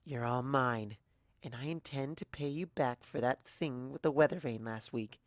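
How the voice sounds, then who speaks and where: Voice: medium pitch, moderate pace, dry recording, lightly acted. An adult narrator voicing an affectionate, teasing, protective mare and wife in a fan fiction reading.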